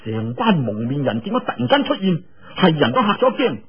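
Husky guy telling a wuxia tale, really swoopy delivery, dull lo-fi radio sound. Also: a little high, very animated. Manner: dramatic, colloquial delivery with drawn-out sentence particles. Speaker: storyteller. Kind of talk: animated Cantonese storytelling of a martial arts novel.

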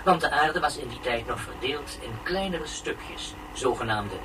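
An actor playing a solemn narrator of a futuristic educational documentary, in scripted style. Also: male voice, mid-range voice, animated, unhurried, background noise, close to an everyday voice. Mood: solemn, explanatory. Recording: far-off television sound with loud music.

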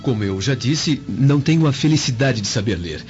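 Deferential young slave, male actor: measured, tactful, expressive mid-pitched voice, radio drama with faint music. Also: lightly acted. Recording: muffled lo-fi, music underneath.

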